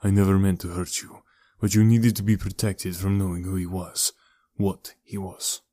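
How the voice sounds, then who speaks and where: Casting: male reader as aging, weary uncle in audiobook, low, measured, deadpan, protective, regretful. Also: dry recording, studio quality, lightly acted. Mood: regretful, grave. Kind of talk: audiobook character dialogue.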